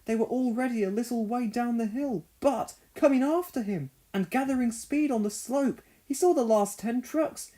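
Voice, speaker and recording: A narrator reading a children's read-along story expressively, in deliberate phrases. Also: medium pitch, dark tone.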